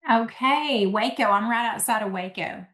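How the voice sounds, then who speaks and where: A woman's voice: fairly high pitch, normal speed, a bit bright. A woman hosting an interview, asking questions in a friendly, hesitant way. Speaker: podcast host. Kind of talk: conversational interview. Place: Central Texas. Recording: echoey room.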